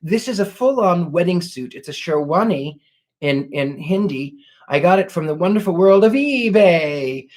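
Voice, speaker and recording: A man hosting a live stream as a psychic, reading out viewers' questions and answering them in a genteel, flowery way with many halting restarts. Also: sits high, lively intonation, darkish tone. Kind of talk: live stream, reading chat comments aloud. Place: seated at a wobbly table indoors.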